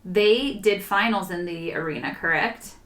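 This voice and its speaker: A woman's voice: medium pitch, unhurried, a bit bright. A woman co-hosting a podcast, chatting casually and playfully, with colloquial interjections. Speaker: cheerleading podcast co-host and coach. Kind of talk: casual, playful co-host chat. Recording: roomy, echoey sound.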